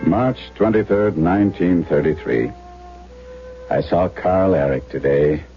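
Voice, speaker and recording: An actor playing a weary, principled older German doctor, gentle and skeptical, in a 1970s radio drama. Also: male voice, sits low, unhurried. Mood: reflective narrating. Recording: muffled old radio broadcast, music behind.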